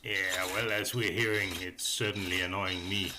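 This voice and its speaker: A man giving a casual hobby review as a voice-over, hesitant, with many pauses and filler sounds. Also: sits low, fairly even intonation, heavy background noise. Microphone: voice-over microphone.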